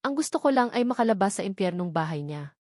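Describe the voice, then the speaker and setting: A woman's voice: mid-range voice, big pitch swings, fast, a little mellow, no room sound, studio quality. A woman reading a dramatic first-person story as an audiobook narrator, in a scripted style.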